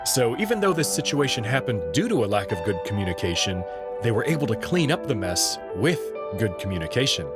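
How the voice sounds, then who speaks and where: A man delivering scripted narration and commentary in an expressive, articulate storytelling manner. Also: medium pitch. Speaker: narrator and host.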